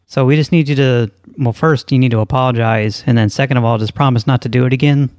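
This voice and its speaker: A man's voice: mid-range voice, fairly even intonation, fast, a little mellow, no echo. Dry-sounding, straight-faced prank caller man. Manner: casual, insistent, straight-faced. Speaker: prank call show host. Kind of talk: deadpan prank phone calls and casual show intro.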